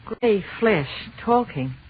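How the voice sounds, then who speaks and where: A woman's voice: mid-range voice, animated, slow pace, slight background noise. An actress playing a terrified woman, pleading and gasping in a 1930s radio drama. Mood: panicked. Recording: tinny phone-like 1930s radio recording.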